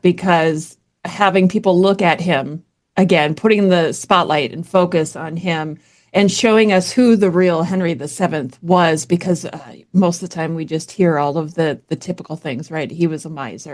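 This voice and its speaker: A woman hosting a history podcast, asking her guest questions in a chatty way and laughing often. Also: on the low side. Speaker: podcast host. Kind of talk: podcast interview.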